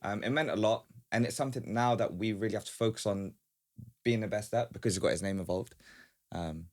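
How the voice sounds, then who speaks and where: Male podcast guest, informal and talkative, lowish pitch, rapid delivery. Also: a little mellow. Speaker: guest, small business founder. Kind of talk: casual podcast conversation.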